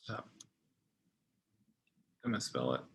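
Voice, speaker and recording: A man giving an informal tutorial as a trainer, thinking aloud as he demonstrates each step on screen. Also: slow. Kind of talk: informal screen-share walkthrough.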